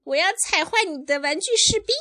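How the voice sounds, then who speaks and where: A high, fast, shrill little girl dinosaur voiced by a woman from a bedtime storybook. She is defiant and quarrelsome, throwing threats with swooping pitch. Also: expressive, bright, forceful tone, heavily acted. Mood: shrill threatening.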